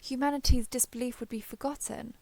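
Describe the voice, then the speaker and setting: A woman's voice: on the high side, steady tone, fairly quick, creaky, darkish tone, dry recording. A woman voicing a proud, ancient female dragon in a fantasy roleplay audio, with formal, haughty, archaic phrasing. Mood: indignant, demanding.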